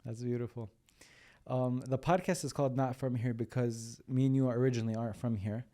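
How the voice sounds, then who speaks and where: A man hosting a podcast, asking curious, halting questions in a casual interview. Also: medium pitch, fairly even intonation, dark tone. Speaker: podcast host. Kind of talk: conversational interview.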